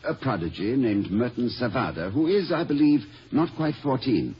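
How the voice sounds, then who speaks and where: An actor playing a courteous, fussy older college president in a 1950s radio comedy, hesitant and rambling with formal diction. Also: male voice, medium pitch, expressive, normal speed, slightly rough. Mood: earnest explaining. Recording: muffled, hissy old radio recording.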